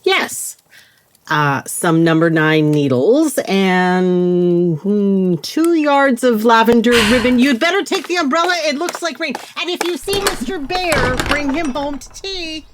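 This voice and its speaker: A woman acts a kindly mother in a period household drama. The voice is pitched up high, homely and thoughtful, giving gentle instructions. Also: lively intonation, a little slow, noisy background. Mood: kindly, practical. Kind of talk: audio play acting.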